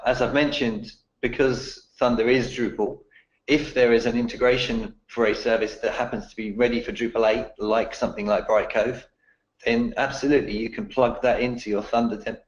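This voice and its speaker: A man with a croaky voice answering technical questions in a webinar, explaining in a steady way. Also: mid-range voice, roomy sound, muffled recording.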